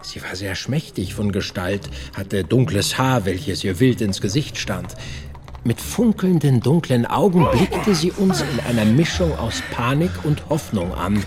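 A man playing an earnest period investigator who narrates his own case in a measured, literary audio drama style. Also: mid-range voice, expressive, background noise, natural voice. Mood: suspenseful narration.

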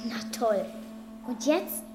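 A woman's voice: a little high, very animated, slow pace. An actress playing a plucky, cheeky young girl who wants to be a pirate, bold and exclamatory, in a children's radio play. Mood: exasperated. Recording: echoey scene with music behind.

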